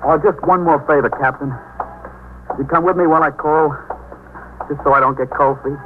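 An actor playing an emotional, good-natured young sailor in a 1950s radio drama, with a hesitant, folksy delivery. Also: male voice, a little high, steady tone, moderate pace, lightly acted. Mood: anxious. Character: anxious, grieving young sailor husband, good-natured and excitable. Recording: tinny, noisy 1950s radio recording.

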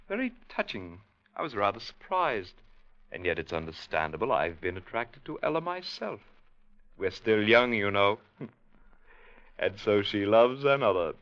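An actor playing a smug, falsely chummy young gentleman villain in a radio drama, suave and mocking, in theatrical 1940s radio style. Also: lowish voice, full character voice. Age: young adult. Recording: dull vintage radio recording.

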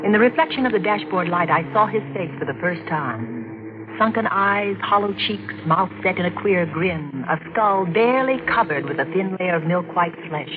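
A woman's voice: lowish voice, expressive, moderate pace, a crowd in the background, natural voice. A woman playing a tense, haughty married woman in the dramatic, clipped style of 1940s radio drama. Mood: suspenseful, haunted. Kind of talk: 1940s radio drama acting and first-person narration. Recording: noisy, muffled 1940s radio recording.

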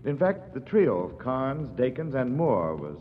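An actor playing an affable, slightly wary theater producer, chatty and wry, in a 1940s radio drama. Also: male voice, mid-range voice, sing-song, a little slow. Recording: echoing, muffled 1940s radio recording with hiss.

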